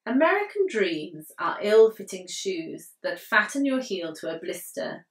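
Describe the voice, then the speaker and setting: A young woman poet reading her poetry aloud with measured, deliberate phrasing, recorded indoors in a living room. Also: medium pitch. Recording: roomy living-room echo, distant mic.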